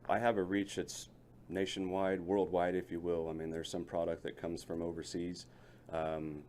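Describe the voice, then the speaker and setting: A man's voice: low voice, a little mellow, slight background noise. A middle-aged man, a local business owner, chatting in a radio interview in a folksy, rambling way, often restarting his sentences. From Lebanon, Missouri area. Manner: folksy, rambling, self-correcting.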